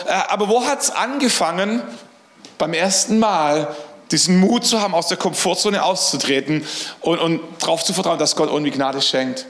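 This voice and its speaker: A man's voice: high-pitched, a bit bright, echoey room. A middle-aged man preaching a sermon as pastor, earnest, often repeating words as he searches for the phrasing.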